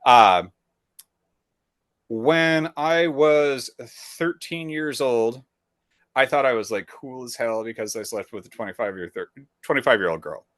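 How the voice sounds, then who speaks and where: A man's voice: mid-range voice, a little slow, a bit bright, dry recording, clean studio sound. A man co-hosting a podcast in casual conversation, blunt and profane, telling stories with a rambling flow. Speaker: podcast co-host.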